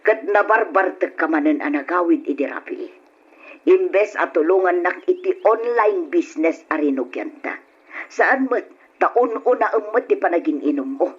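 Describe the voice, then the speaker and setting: A woman acting in an old radio drama as a nagging, exasperated wife with a husky voice. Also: on the low side, expressive, normal speed, muffled recording. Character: fed-up, scolding wife of a shiftless husband.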